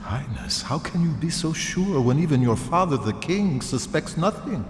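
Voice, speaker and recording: Lively, wry fantasy companion. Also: male voice, a little high, normal speed. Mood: earnest, respectful. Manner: polite, wry, articulate. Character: courteous, wry male fantasy adventurer's companion. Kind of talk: video game cutscene dialogue. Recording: noisy game audio.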